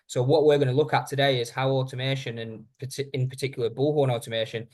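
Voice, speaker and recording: Guy doing a webinar training talk, chatty and informal, mid-pitched voice. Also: flat delivery, fast talker, darkish tone. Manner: informal, addresses listeners directly. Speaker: webinar presenter. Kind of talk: conversational software training presentation.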